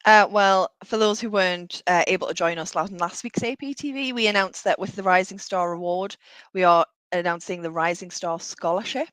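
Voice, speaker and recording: A woman co-hosting a live webcast, friendly and brisk, chatting about work. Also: lowish voice, fairly bright tone, dry recording, poor audio. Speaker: co-host.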